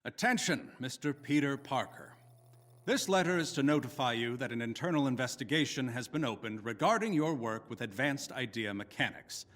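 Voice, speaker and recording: Bitter older male game villain, played by an actor: formal, menacing, very animated intonation, big reverberant space. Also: mid-range voice, lightly acted. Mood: stern, official. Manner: contemptuous, formal, deliberate menace. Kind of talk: dramatic video game voice acting.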